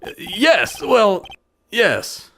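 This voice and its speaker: A man's voice: high voice, huge pitch swings, extremely slow, vocal fry, a bit bright, husky, exaggerated voice. A man voicing an earnest young defense lawyer in a video game fan dub, hesitant, with dry, exasperated asides. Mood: hesitant, wry.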